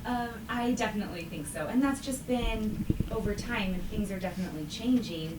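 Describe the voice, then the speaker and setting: Woman, podcast panelist, slightly low pitch, casual discussion, noisy recording.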